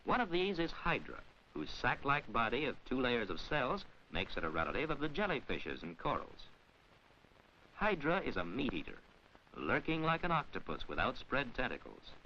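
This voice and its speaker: A man delivering formal scripted narration in the measured, instructional manner of a mid-century educational science film, with careful enunciation. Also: mid-range voice, pushed and bright. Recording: dull, hissy 1940s film soundtrack.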